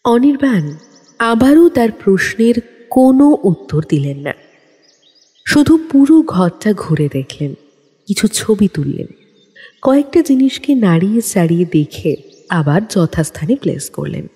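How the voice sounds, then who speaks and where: A woman's voice: mid-range voice, sing-song, measured pace, pauses often, mellow, husky. A woman narrating a detective story from a script in a dramatic style. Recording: long studio reverb, music underneath.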